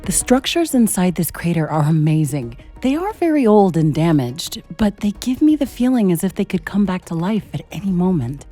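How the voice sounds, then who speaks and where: An actress playing a curious, determined scientist-commando in a video game, speaking in an earnest, articulate way. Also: female voice, lowish voice, big pitch swings, moderate pace, few pauses, mellow, faint music behind. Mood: curious, decisive. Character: curious, determined female scientist-commando exploring an alien world. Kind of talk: scripted video game dialogue.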